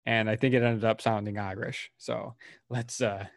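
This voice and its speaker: A man's voice: fairly low pitch, steady tone, moderate pace, gravelly, no room sound. Joking male podcast host, dry sound. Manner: joking, laughs often. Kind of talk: casual chat.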